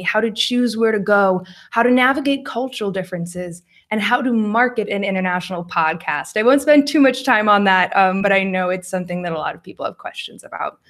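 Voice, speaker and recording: A woman's voice: mid-range voice, a bit bright. American woman giving a conference talk. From United States. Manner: friendly, laughs often, fillers like um. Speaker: conference speaker. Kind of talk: presentation talk with slides. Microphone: voice over slides.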